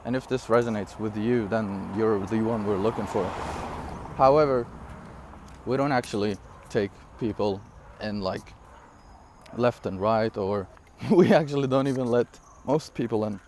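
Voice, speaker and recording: A man in his twenties from Syria, for whom English is not his first language, giving an energetic, rambling business pitch straight to camera with frequent fillers and laughs. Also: unhurried, slight background noise. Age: 20s. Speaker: online business coach. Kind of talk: casual direct-to-camera talk.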